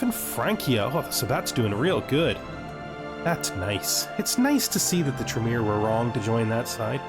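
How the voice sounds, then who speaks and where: A man giving casual let's-play commentary, thinking aloud in fragments and asking himself questions as he goes. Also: medium pitch. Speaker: gaming video host. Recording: music behind the voice. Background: background music.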